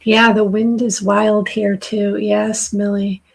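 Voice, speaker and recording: A middle-aged woman with a raspy, dry-throated voice, slowly and softly giving a live tarot reading as host. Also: medium pitch, little pitch movement, slight background noise. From southern New Hampshire. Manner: slow, soft, soothing intuitive reading.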